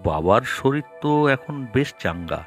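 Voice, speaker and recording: A low-voiced, fretful devoted son. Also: male voice, sing-song, normal speed. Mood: pleading, dejected. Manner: pleading, fretful, protesting. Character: anxious, devoted adult son fretting over his old father. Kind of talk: animated cartoon dialogue. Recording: music behind the voice.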